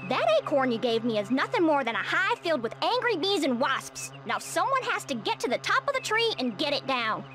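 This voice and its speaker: A woman's voice: high voice, very animated, brisk, few pauses, music in the background. A woman voicing a feisty, sporty squirrel in a cartoon video game, with a folksy, brash Texan drawl. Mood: bossy, exasperated. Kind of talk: animated video game voice acting.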